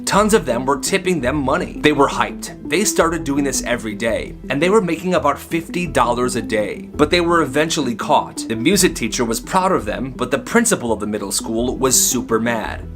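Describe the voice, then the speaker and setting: A man narrating short comic stories in a casual, slangy, conversational style. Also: medium pitch. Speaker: storyteller, host of short comedy videos. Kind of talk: casual comedic storytelling narration.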